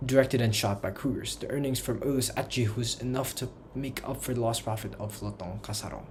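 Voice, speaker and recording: A man's voice: mid-range voice, fairly quick, a little mellow, a little hiss or hum. A man reading a scripted history narration for a personal school project, in careful textbook phrasing. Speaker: student narrator.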